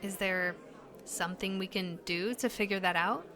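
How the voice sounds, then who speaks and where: A woman's voice: medium pitch, normal speed, sharp tone. An actress playing an uneasy but determined young woman in an audio drama, speaking in a hesitant, earnest, questioning way. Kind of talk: naturalistic audio drama dialogue.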